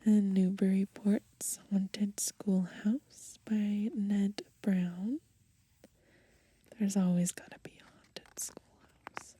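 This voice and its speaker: A young woman softly whispering in a gentle ASMR style as she browses and reads from a book outdoors. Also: medium pitch, flat delivery, takes it slowly. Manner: soft, gentle, breathy whispering. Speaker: ASMR creator. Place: outdoors in the grass.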